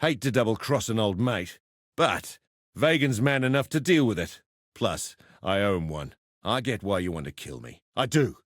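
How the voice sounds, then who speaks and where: Cheeky swaggering arena brawler. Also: male voice, fairly low pitch, expressive, normal speed, no room sound, clean studio sound. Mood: exasperated, heated. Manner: cheeky, slangy, theatrical, swaggering tough-guy talk. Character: roguish adult male arena fighter and pitmaster turned syndicate member. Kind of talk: video game character voice lines.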